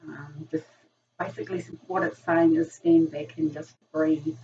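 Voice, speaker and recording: Woman from New Zealand, low gravelly voice, tarot reader, distant lo-fi mic with faint hiss. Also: little pitch movement, a little slow, mellow. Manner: chatty, filler-heavy, frequent ums. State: croaky voice from a virus. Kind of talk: casual tarot reading.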